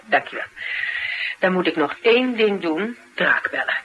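Give her a bright, forward voice with a dry, pointed edge: a wry, assertive woman doing her own investigating. It is natural radio-drama acting, with a little noise behind the recording. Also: on the low side, animated, normal speed, lo-fi sound. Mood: wry, tired.

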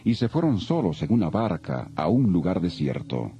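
Male Bible narrator, low and warm, solemn storytelling; lo-fi with faint music. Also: sing-song, normal speed, husky. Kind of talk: dramatized scripted Bible narration. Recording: dull lo-fi audio, faint music.

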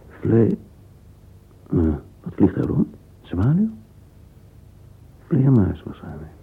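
An actor playing a wistful middle-aged man lost in thought, murmuring a halting interior monologue in a radio play. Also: deep voice, vocal fry, full character voice. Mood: curious, musing. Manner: murmuring, halting, fragmentary musing, sometimes whispered. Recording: narrow-band lo-fi old radio recording, hissy.

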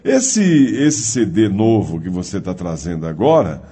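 A man's voice: sits low, very animated, measured pace, dark tone, slightly rough. A man hosting a radio interview program, chatty and self-deprecating, laughing at himself as he talks with a singer in a radio studio. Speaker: radio show host.

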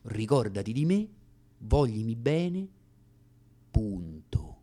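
An actor playing a dry, offhand letter-writer, dictating in a clipped deadpan way in a radio play. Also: male voice, medium pitch, slow pace, pitched below the performer's own voice. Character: dry male letter-writer coolly recommending a young actor.

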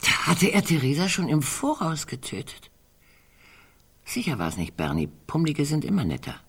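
A woman's voice: sits low, huge pitch swings, normal speed, slightly rough, full character voice. An actress playing a wry, musing, fussy older woman in a literary radio drama, digressing as she talks. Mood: brooding, wry.

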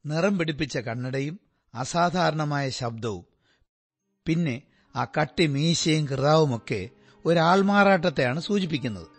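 A man's voice: on the high side, animated, a little slow, slightly rough, faint music behind, exaggerated voice. An actor playing a detective's attentive male companion in a Malayalam radio drama, earnest and questioning. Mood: thoughtful.

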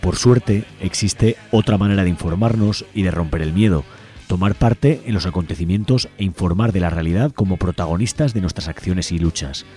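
A man's voice: low voice, normal speed, dark tone, soft background music. A man with an accent from Spain, reading a scripted announcement as host of a community radio programme in a studio. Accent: Spanish from Spain.

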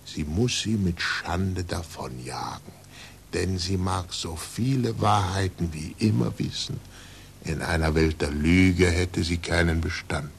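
An actor playing an aging blind scientist in a 1940s German radio drama, weary and reflective, speaking in a measured, solemn way. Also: male voice, gravelly. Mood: bitter resignation. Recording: noisy 1940s radio recording with rumble.

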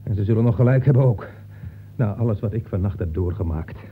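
A man's voice: on the low side, fast, lightly acted. A man playing a harassed, exasperated novelist in a radio play, flustered and stammering. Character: harassed, exasperated male novelist, married, at wits' end. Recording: narrow, muffled old radio recording, noisy.

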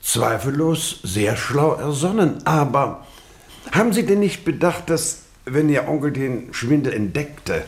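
A man acting in a German radio drama as a courteous, shrewd skeptic, questioning in formal, measured phrases. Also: medium pitch, very animated. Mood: skeptical, measured. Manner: formal, measured, probing, with attentive murmurs.

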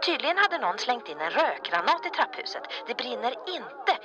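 Cast a woman as a polite barmaid on a late call, lively pitch, rowdy crowd behind. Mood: explaining. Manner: apologetic, polite. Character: apologetic female tavern keeper phoning late at night. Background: crowd of revellers.

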